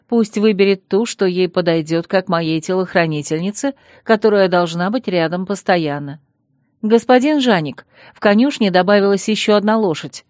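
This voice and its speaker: A woman narrating a first-person fantasy novel in a measured, composed way. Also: mid-range voice, a little mellow, no room sound, studio quality. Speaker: audiobook narrator.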